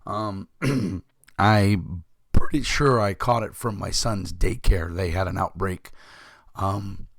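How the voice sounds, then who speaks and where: Hoarse, gravelly-voiced man in his fifties. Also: sits low, slow pace, dry recording, studio quality. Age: 50s. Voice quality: rough, gravelly voice. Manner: rambling, many fillers and throat clearing. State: hoarse, recovering from illness. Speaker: tabletop game channel host. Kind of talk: casual chat to camera.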